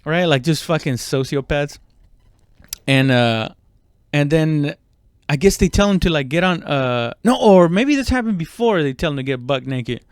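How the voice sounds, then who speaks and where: A man's voice: on the high side, lively intonation, normal speed, clear, resonant tone, dry recording, clean studio sound. A man in his thirties from Mexico, a comedian telling a story casually, swearing and interrupting himself as he rambles. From Mexico, moved to the US at seven. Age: 30s. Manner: profane, rambling, self-interrupting. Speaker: stand-up comedian.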